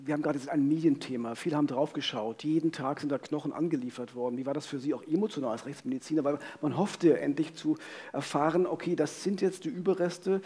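Higher-pitched, husky middle-aged man. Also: steady tone, quick pace, warm tone. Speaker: co-host. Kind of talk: live true-crime interview. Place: vaulted venue, live audience on stage. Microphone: headset.

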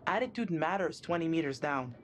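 A woman's voice: deep voice, lively intonation, fairly quick. An actress playing a level-headed young female boat captain in a game cutscene, giving dry, unimpressed replies. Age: young adult.

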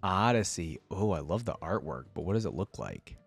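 A man's voice: low voice, big pitch swings, moderate pace, vocal fry, slightly rough, soft background music. A young adult man giving chatty, slangy reaction commentary into a close desk microphone. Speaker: reaction video host. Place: indoor streaming setup. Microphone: close desk microphone with headset on.

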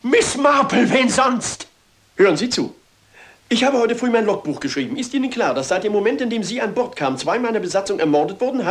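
An actor playing a pompous, exasperated male ship's captain in a detective drama, blustering and indignant. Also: sits high. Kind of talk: German detective film dialogue.

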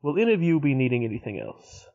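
Man playing obliging male servant, formal, deferential, animated pitch, slightly muffled recording, audio drama. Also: fast talker.

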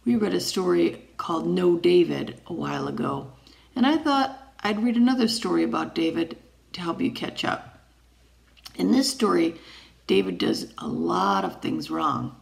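A woman in her forties speaking deliberately and simply, as a teacher reading a story aloud to children. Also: lowish voice, expressive, creaky. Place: indoors, wood-panelled room.

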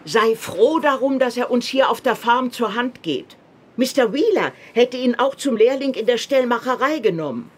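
An actress playing a kindly old farm grandmother in a radio drama, with a husky voice. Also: on the high side, expressive, normal speed. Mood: gently reproving.

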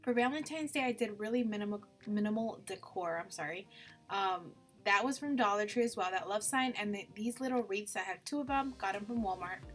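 A woman's voice: mid-range voice, a little fast, over loud music. A woman vlogger narrating a casual home cleaning video in an informal, gushing way.

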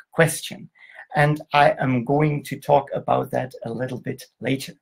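A middle-aged man from Germany giving an online lecture over a video call, talking in a halting way with restarts. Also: a little high, vocal fry, slightly rough. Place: indoor room, video call.

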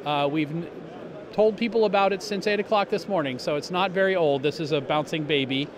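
A man's voice: a little high, a little fast, crowd noise. A middle-aged man explaining a product in an upbeat way at a trade show booth, talking into a handheld mic, with lots of fillers and restarts. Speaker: company representative. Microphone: handheld interviewer mic. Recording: noisy trade show hall.

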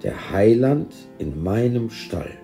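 A man voicing a gentle old innkeeper, full of wonder and awe, in a storybook. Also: on the low side, very animated, slow, creaky, soft background music, pitched above the performer's own voice, exaggerated voice.

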